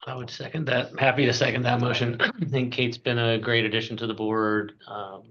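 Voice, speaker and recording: A man, a board member, speaking in a steady, supportive way on a video call. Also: mid-range voice, brisk. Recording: dull call audio with faint noise.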